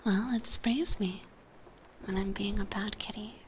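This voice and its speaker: A woman whispering softly and breathily into a close binaural microphone, chatting hesitantly on an ASMR livestream. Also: medium pitch, fairly even intonation, a little slow, a little hiss or hum, rough recording. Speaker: ASMR streamer, virtual YouTuber.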